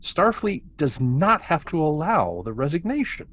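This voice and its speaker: A man playing an arrogant, insistent science commander in a table read, argumentative, with a husky voice. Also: fairly high pitch, sing-song, measured pace, fairly bright tone, heavily acted. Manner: argumentative, pressing. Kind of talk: amateur table read of a sci-fi script.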